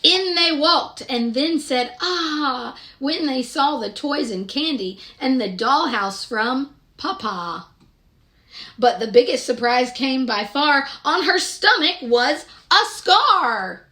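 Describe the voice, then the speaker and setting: Bright, high-voiced woman reading to children. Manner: expressive, deliberate, child-directed delivery. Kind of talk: picture-book read-aloud with questions to young listeners.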